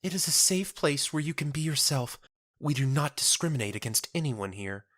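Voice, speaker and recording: A sweetly devout young woman, played by a man in an audio drama, pitched high and softly lilting. Her words are formal and quaintly courteous, and her temper is gentle and earnest. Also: animated, normal speed. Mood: gentle, reassuring. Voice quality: soft, lilting. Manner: formal, quaintly polite speech.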